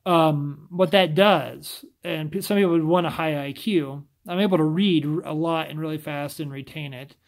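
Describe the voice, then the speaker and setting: A man's voice: on the high side, normal speed, creaky, few pauses. A man with a scratchy voice talking casually to camera, often stumbling and restarting his sentences. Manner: stumbles over words, rambling restarts.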